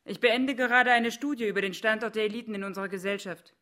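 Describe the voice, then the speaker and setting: An actress in a radio play playing a sceptical, indignant economist who questions with incredulity. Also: female voice, a little high, steady tone, quick pace, fairly bright tone, close to an everyday voice. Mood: matter-of-fact. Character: indignant, sceptical woman economist, new to a madhouse workplace. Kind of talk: German satirical radio play acting.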